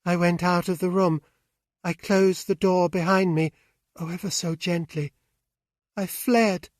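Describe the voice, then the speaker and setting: Man doing a frightened young woman, anguished, raised pitch, slow, dry recording. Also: fairly even intonation, no room sound. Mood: tearful. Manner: anguished, pleading.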